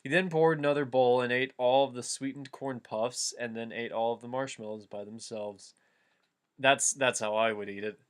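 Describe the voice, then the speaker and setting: A man with a mid-pitched voice reads fan fiction aloud as a host. He moves between storybook-like narration and casual riffing commentary in an everyday conversational way. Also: steady tone, normal speed, smooth.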